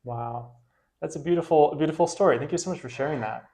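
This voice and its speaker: A man's voice: on the high side, quick pace, creaky, dark tone. A man, a parish priest, hosting a casual interview, friendly and hesitant with many fillers.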